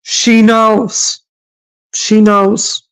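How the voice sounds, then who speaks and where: A woman's voice: mid-range voice, flat delivery, extremely slow, clear, resonant tone. A woman from Massachusetts hosting a livestream, reacting to clips with sarcastic, scolding commentary and frequent hesitations.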